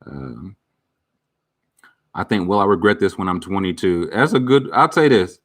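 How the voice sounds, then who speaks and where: A man's voice: low voice, sing-song, normal speed. A man around thirty chatting casually with viewers as a streamer, candid and reflective, full of slang and fillers. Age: about 30. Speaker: streamer answering viewer questions. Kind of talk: casual chat.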